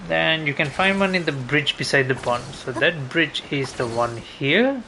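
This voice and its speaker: A man's voice: on the high side, lively intonation, unhurried, noisy background. A man streaming a game, thinking aloud in a casual, hesitant way as he talks through his route. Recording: game music behind voice. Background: video game music.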